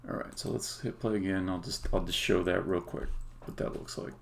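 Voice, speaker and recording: A man giving a casual live-stream demo as a game developer, halting and muttering to himself as he works. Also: low voice, steady tone, gravelly. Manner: hesitant, halting, muttering to himself while working. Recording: noisy room, keyboard and mouse clicks.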